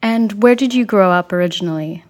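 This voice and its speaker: A woman hosting an interview, asking questions in a calm, conversational way. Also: mid-range voice, brisk. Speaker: interviewer, host.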